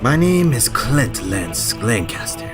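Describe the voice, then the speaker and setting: A man's voice: mid-range voice, sing-song, takes it slowly, over music. A man giving casual, chatty let's play commentary and reading game text aloud, stumbling now and then. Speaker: gaming channel host.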